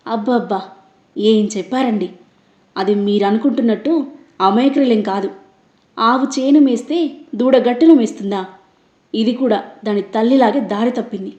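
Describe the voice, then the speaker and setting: A woman's voice: fairly high pitch, moderate pace, long pauses, warm tone, roomy sound, highs cut off, lightly acted. A woman voicing a harsh, accusing middle-aged mother-in-law who hurls insults. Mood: scornful, furious.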